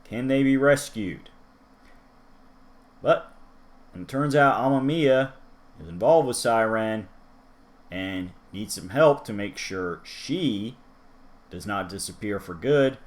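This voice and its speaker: A man's voice: lowish voice, lively intonation, takes it slowly, long pauses. Chatty man rambling through a review. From United States. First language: English. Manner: chatty and rambling, with asides to the viewer. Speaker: manga review host. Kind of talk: casual solo review talk.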